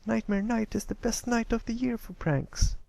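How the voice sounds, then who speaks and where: A cocky young tomboy filly put on by a male reader talks in a high, creaky voice. The manner is punchy, boastful and quick to take offence, in the style of a read-aloud pony story. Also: a little mellow. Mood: mischievous. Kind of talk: character voice in storybook reading.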